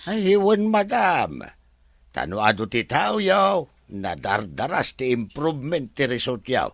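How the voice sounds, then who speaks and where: An eager, humble resort farmhand for a radio drama. His bright voice swings widely at an unhurried pace, full of drawn-out folksy exclamations, in a dry studio. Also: medium pitch, huge pitch swings, vocal fry, no echo, full character voice. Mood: eager, respectful. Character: deferential, excitable male farm and resort worker.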